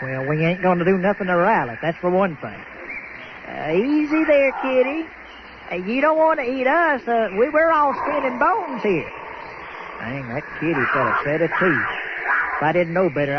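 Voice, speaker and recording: A man acts out a folksy old boatman with a drawl in a radio adventure. His pitch is high and leaps around as he exclaims in homespun phrases. The sound is muffled, with clear noise behind it. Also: lively intonation, moderate pace. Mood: wary. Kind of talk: old-time radio comedy adventure acting. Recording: muffled, very noisy lo-fi radio recording.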